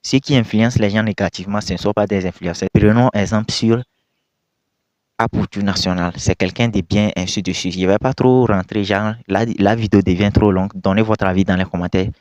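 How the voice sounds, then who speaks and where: Low-voiced man scolding his viewers. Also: fairly even intonation, fairly quick, a little mellow, no echo, dull audio. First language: not French. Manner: repetitive, emphatic, scolding rhetorical questions. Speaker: video commentator. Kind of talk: casual reaction commentary to viewers.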